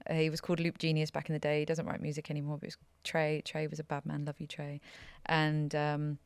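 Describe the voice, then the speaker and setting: Woman, podcast guest. Low, chatty storyteller, dry close recording. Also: fast talker, clean tone, no room sound. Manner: chatty, laughing, animated storytelling. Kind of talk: casual interview chat.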